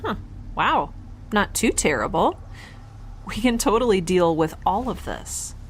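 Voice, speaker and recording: Bubbly, animated, rambling young spacefarer played by a woman. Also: medium pitch, measured pace, slight background noise. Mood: upbeat, casual. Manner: rambling, bubbly, awkward, informal. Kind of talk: science fiction audio drama.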